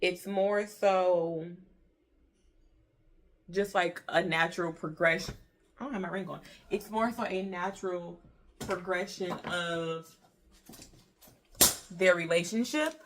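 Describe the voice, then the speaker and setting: A woman's voice: fairly low pitch, takes it slowly, long pauses. An American woman in her early thirties giving a casual book review in an informal, chatty way, repeating herself for emphasis. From Detroit, Michigan, USA.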